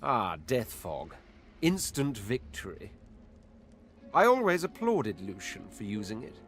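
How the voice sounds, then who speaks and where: Low, expressive, cold warmonger. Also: male voice, measured pace, music in the background. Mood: cold approval. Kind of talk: video game voice acting.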